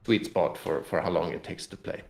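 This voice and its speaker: A man's voice: sits low, a little fast, vocal fry, darkish tone. A man in a casual interview explaining a tabletop game in a rambling, loosely hedged way. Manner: rambling, explanatory, hedging with "kind of". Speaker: game publisher representative being interviewed. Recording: roomy, echoey sound.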